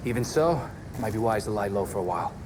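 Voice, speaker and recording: Male actor as young masked vigilante, low voice, friendly, dry humor, game cutscene, heavy background noise. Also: lively intonation, fast talker, natural voice. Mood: friendly concern. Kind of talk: video game cutscene acting.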